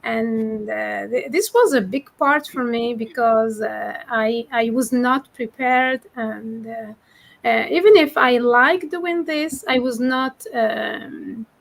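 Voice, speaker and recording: A woman from Algeria speaking as a panel guest, sharing a personal account haltingly and searching for words. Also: fairly high pitch, vocal fry.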